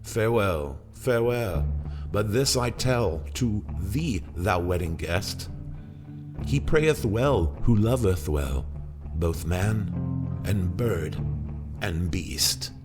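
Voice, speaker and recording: A man's voice: deep voice, expressive, takes it slowly, pitched above the performer's own voice. A man playing a haunted old mariner in dramatic verse, declaiming with solemn passion. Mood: fervent, mournful. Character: old ship-wrecked mariner, haunted, fervent preacher of his tale. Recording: music played behind the voice.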